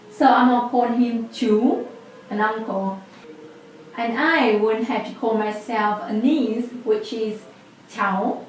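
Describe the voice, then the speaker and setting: A woman's voice: on the high side, very echoey, distant mic, a little hiss or hum, rough recording. A woman in her twenties with a Vietnamese accent, patiently explaining a language lesson step by step. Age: 20s. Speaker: language teacher.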